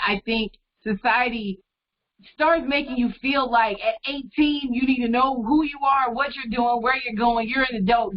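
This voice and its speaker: A woman's voice: on the high side, dull audio. A woman interviewer speaking over a video call, affirming her guest and reflecting in long, thoughtful runs. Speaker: radio interviewer. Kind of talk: interview conversation.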